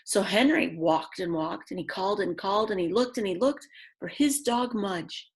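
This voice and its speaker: A woman reading a picture book aloud to young children, expressively and simply, with chatty asides to her listeners. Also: medium pitch. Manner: slow, simple phrasing with dramatic emphasis and chatty asides. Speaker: children's read-aloud storyteller.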